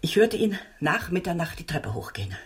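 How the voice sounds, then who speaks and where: A woman's voice: fairly low pitch, sing-song, a little fast, slightly rough, exaggerated voice. An actress playing an anxious, deferential working-class landlady in a German radio drama, speaking earnestly and fretfully. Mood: earnest, troubled.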